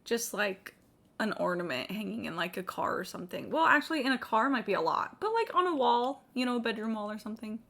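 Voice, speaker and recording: A woman live-streaming a game with casual, expressive, sweary chatter. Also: on the high side, brisk, gravelly, a little hiss or hum. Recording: stream with faint game music behind. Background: game music.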